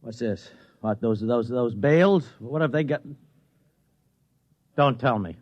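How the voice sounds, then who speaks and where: A man's voice: mid-range voice, unhurried, close to an everyday voice. A flustered businessman played by a male actor in an old radio comedy-drama. He is exasperated and pleading, tripping over his words in a mid-range voice on a dull, band-limited recording. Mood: stunned. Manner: stammering, agitated, pleading. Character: flustered, desperate, broke businessman, a robot's exasperated boss.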